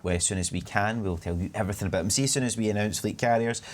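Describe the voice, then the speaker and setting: A man's voice: lowish voice, very fast. A young Scottish man in his twenties, a community manager chatting on a live stream and reading out viewer comments. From Scotland. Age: 20s. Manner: chatty, rambling, reads chat aloud.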